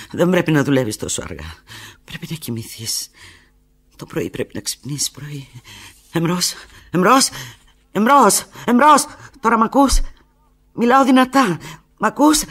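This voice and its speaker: A husky, desperate lovelorn woman. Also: on the low side, full character voice. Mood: tender, subdued, then agitated, urgent. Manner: halting, emotional, swinging from hushed murmurs to urgent cries. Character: desperate, lovelorn woman abandoned by her lover, on the telephone. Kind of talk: theatrical radio drama monologue.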